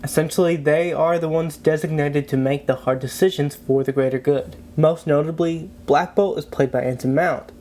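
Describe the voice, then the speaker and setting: A man with a stuffy, congested cold giving a low-energy scripted breakdown narration as a video host. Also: a little mellow.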